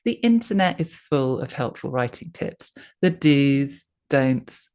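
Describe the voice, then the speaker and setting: Woman, low voice, scripted narration, article read aloud, measured phrasing. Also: animated, darkish tone, smooth. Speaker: reader of a written article.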